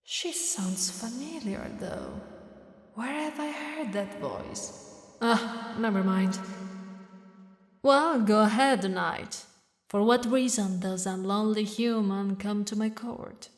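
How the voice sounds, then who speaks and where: A woman voicing a haughty, mocking demon queen who mourns a lost lover, speaking in slow, theatrical, regal phrases for an audio roleplay. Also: mid-range voice, natural voice. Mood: suspicious, haughty. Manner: slow, taunting, regal, with dramatic pauses. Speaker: villainous ruler addressing a knight.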